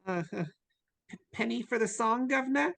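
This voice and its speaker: Slow, timid little caroler, a woman acting. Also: a little high, vocal fry, a bit bright. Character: small, timid, hopeful caroler begging a penny. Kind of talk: live dramatic reading. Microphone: video call.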